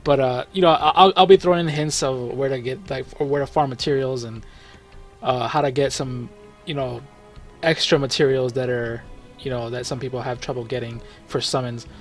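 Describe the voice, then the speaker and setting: Relaxed male gaming host, mid-range voice, chatty and meandering, faint background music. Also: medium pitch, moderate pace. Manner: rambling, relaxed, frequent fillers and self-corrections, chuckles. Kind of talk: casual gameplay commentary.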